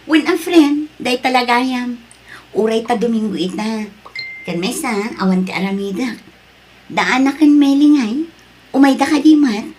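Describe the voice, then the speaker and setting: A woman's voice: fairly high pitch, animated, unhurried, a little mellow, a little hiss or hum. A woman playing a composed, hurt mother working abroad in an Ilocano radio drama. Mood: friendly. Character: Maria, heartbroken but composed mother working abroad, betrayed by her husband.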